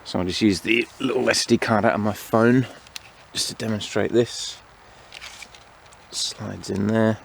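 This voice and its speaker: A man giving a casual, unhurried hands-on product review, explaining each feature as he goes. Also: lowish voice, steady tone. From United Kingdom. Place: outdoors among greenery. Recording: clear outdoor background noise.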